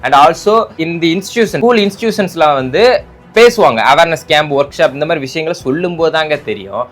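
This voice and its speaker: A man's voice: on the high side, animated, moderate pace, fairly bright tone, soft background music. A man from giving casual political commentary, arguing and mocking with rhetorical questions. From Tamil Nadu, India.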